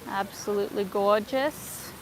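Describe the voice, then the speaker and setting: A woman from North America hosts a casual travel livestream, filmed on a phone as she walks through a city square and chats with her viewers, with fillers and soft laughs. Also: medium pitch, fairly even intonation, a little slow, background noise. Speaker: travel vlogger livestream host. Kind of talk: casual livestream chat while walking. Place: outdoors in a city square and park. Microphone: phone held while walking.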